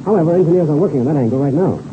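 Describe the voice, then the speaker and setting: A man's voice: fairly high pitch, lively intonation, rapid-fire, close to an everyday voice. An actor playing a confident seasoned pilot mentoring a boy in 1930s radio serial style, assured and slangy. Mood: assured, enthusiastic. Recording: scratchy, tinny old-time radio recording, noisy.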